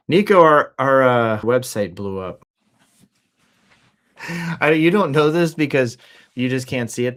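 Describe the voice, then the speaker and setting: A middle-aged man hosting a livestream, talking casually and jokily in an animated, rambling, off-the-cuff way with rhetorical questions. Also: mid-range voice. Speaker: host of a livestream news show. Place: office.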